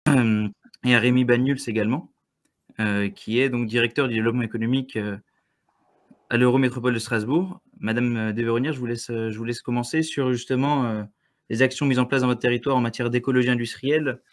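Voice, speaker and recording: A man's voice: mid-range voice, steady tone, fast talker. Hesitant young man moderating an online panel. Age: young adult. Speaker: webinar moderator. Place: indoor room, on a video call. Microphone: video call.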